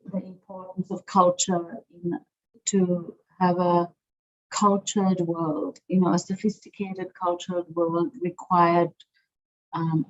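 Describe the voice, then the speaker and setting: Middle-aged woman from sri lanka, museum director in an interview, talks slowly thinking it through, lots of ums, kinda muffled lo-fi sound. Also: fairly low pitch. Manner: thoughtful, searching for words with many fillers. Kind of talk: interview conversation. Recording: dull lo-fi sound.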